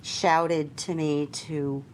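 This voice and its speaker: A woman, a flight attendant, giving a measured and deliberate account in a documentary interview. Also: sits low, level tone, slight background noise, rough recording.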